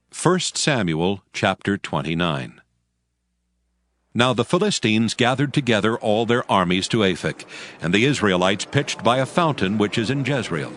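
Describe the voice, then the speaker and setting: A man's voice: fairly low pitch, fairly bright tone. A man narrating a dramatized scripture reading in a solemn, scripted storytelling style. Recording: voice over prominent music bed.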